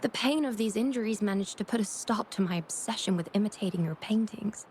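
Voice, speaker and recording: A woman's voice: medium pitch, fairly quick, darkish tone, a little hiss or hum, lightly acted. Woman acting a rueful, self-doubting female painter. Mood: remorseful, defeated. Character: remorseful, self-doubting woman painter, once obsessively envious. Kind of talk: video game story dialogue acting.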